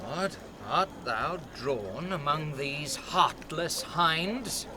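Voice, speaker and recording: A man's voice: on the high side, lively intonation, very slow, background noise. An actor playing a menacing, hot-headed young nobleman hungry for a duel, contemptuous and theatrical, in a Shakespearean audio drama. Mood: contemptuous menace.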